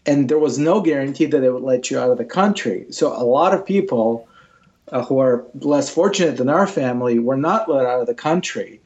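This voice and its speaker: A man's voice: mid-range voice, fairly quick, darkish tone, highs cut off. A man from Ukraine whose first language is Russian, a guest telling his life story in an interview in an earnest, reflective way. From Ukraine, former Soviet Union. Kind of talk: personal storytelling in conversation.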